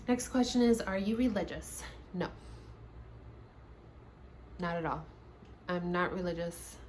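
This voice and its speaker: A chatty woman in her late twenties with a slightly lower voice, taking questions one by one in a relaxed home vlog. She laughs easily and restarts her sentences as she goes. A faint hum of room noise sits behind her. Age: 20s. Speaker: YouTuber. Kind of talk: casual sit-down Q&A vlog. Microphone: camera mic, seated at home.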